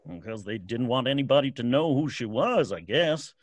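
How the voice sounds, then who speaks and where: A man's voice: medium pitch, animated, normal speed, no echo, lightly acted. A man playing a folksy, uneasy graveyard caretaker with a hesitant, rustic manner in an old-time radio drama. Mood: ominous, folksy.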